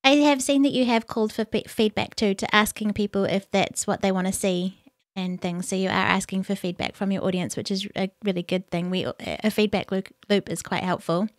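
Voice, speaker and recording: A woman hosting a casual interview, speaking in a calm, relaxing voice. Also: mid-range voice, fairly quick, dry recording, clean studio sound. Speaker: interview host.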